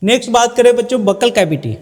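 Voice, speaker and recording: A man gives a school science lecture in a notably high voice. He explains didactically, slipping English terms into his speech and answering his own questions. The recording carries a long, hollow reverb. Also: animated, fast, darkish tone. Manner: didactic, asks questions and answers them himself. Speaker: biology teacher. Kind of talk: classroom lecture mixing Hindi with English terms.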